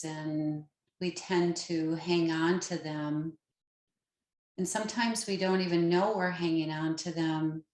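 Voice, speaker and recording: A woman with a slightly low voice guides a meditation in a very slow, unhurried way. She is calm and gentle, leaving long pauses between phrases. The sound is roomy, with a clear echo around her words. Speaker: meditation guide, yoga teacher.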